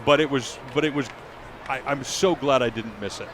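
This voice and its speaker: A man's voice: mid-range voice, normal speed. An American man, a sports announcer, talking casually as an interview guest. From United States, from Michigan and Illinois. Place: TV broadcast booth at an outdoor ballpark. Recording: noisy outdoor ballpark booth. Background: clear ambient stadium noise.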